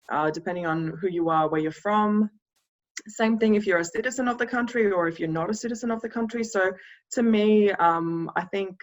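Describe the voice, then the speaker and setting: A woman from Yugoslavia, a CEO, talking reflectively in a panel discussion, measured and hedging with fillers. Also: lowish voice. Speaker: CEO of a community organisation. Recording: dull lo-fi recording.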